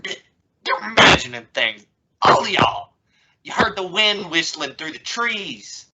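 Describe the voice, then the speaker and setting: A woman's voice: on the low side, sing-song, unhurried, lo-fi sound. A young woman playing an exasperated, stammering father trying to sound reasonable in an old-time radio family comedy. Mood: nervously reassuring. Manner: stammering, sputtering. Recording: noisy recording with clicks.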